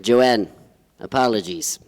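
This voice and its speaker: An actor playing a pompous, wistful poetry-reading emcee, speaking in a solemn, florid way on a theatre stage. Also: male voice, lowish voice, slow, darkish tone. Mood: pompous, courtly. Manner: pompous, ponderous. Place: theatre stage, live performance. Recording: reverberant live theatre hall.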